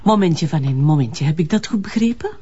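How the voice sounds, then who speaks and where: An actress playing a stern, sceptical magistrate in a crime drama, with a Flemish accent and a clipped, sardonic, authoritative way of questioning. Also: female voice, low-pitched, lively intonation, brisk, mellow, breathy edge, dull audio, soft background music. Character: stern, sceptical female magistrate grilling a policeman. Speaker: actor. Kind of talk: naturalistic TV crime drama dialogue.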